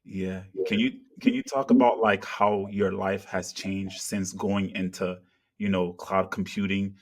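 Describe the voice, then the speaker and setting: A man from Africa hosts a casual interview, asking long, earnest questions padded with "you know" and "kinda". His voice is on the low side. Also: little pitch movement, a little slow. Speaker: interviewer, channel host.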